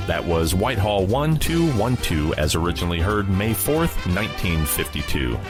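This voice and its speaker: Low-voiced male host over guitar music. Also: very animated, normal speed. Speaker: podcast host. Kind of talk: scripted radio-style announcing.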